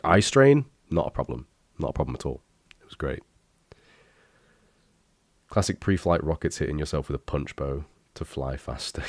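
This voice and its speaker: Guy, super low voice, bunged up from a cold, rambly and self-mocking, swears a bit, dry close mic. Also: no room sound. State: congested, bunged up from illness. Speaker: youtuber reacting to his old videos. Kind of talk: casual reaction commentary.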